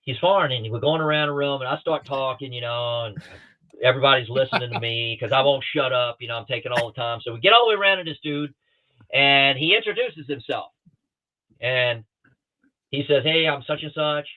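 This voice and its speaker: A man's voice: mid-range voice, a little fast. Rambling storyteller man on a tinny line. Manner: rambling, animated, with many restarts and acted-out quotes. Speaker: guest pilot telling stories. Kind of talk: casual storytelling on a talk show.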